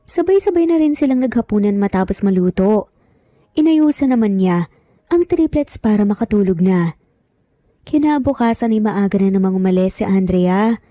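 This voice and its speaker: A woman's voice: a little high, dark tone, no room sound, clean studio sound. A woman reading scripted narration as the storyteller of a romance story.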